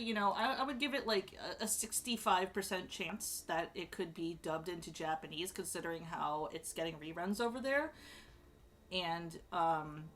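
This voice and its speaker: A woman chatting casually as she ranks characters, rambling with lots of fillers and restarts and sudden bursts of excitement. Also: mid-range voice. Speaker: streamer ranking show characters.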